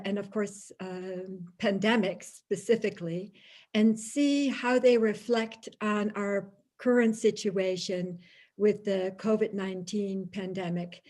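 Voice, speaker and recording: A woman's voice: mid-range voice, darkish tone. A woman with a Dutch accent, Dutch her first language, giving a thoughtful lecture-style talk with many fillers and restarts. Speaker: guest lecturer.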